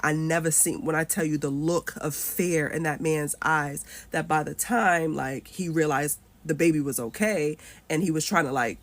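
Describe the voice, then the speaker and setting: A woman's voice: deep voice, moderate pace, few pauses. A woman in her thirties telling a personal story candidly, swearing freely. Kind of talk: personal story told to camera.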